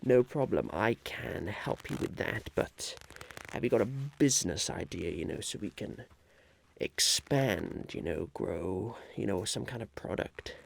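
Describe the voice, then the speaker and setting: A soft-spoken man doing an ASMR roleplay as an eager, flustered businessman, with a halting, deliberate delivery that slips into whispers. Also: on the low side, animated, vocal fry, slight background noise. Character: the Once-ler, eager, flustered businessman chairing a meeting of animals.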